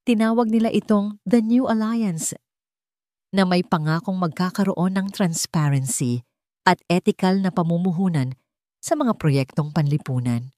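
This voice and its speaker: A low-voiced Filipino-accented woman narrates a dramatic audio story. Her phrasing is measured and deliberate, with pauses for weight. The recording is dry and close, with very little room sound. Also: dark tone, no room sound.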